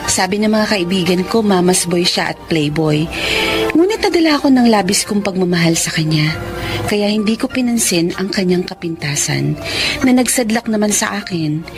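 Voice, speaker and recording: A woman's voice: medium pitch, animated, normal speed, music in the background, natural voice. A woman playing an earnest, wounded young Filipina in a radio drama, respectful and resolute. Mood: wistful narration. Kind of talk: radio drama acting and first-person narration.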